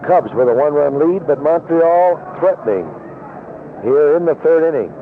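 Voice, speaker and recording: A man's voice: on the high side, lively intonation, moderate pace. Noisy old radio baseball announcer, man. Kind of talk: live sports commentary. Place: outdoor ballpark broadcast booth. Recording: noisy narrow-band 1970s AM radio broadcast.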